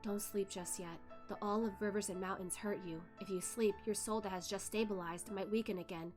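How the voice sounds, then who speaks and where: A calm male underworld spirit speaks quietly and with measured, gentle concern, acted by a woman reader. It is a storybook reading with soft music behind. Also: mid-range voice, lightly acted. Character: calm, solicitous male underworld ghost slayer.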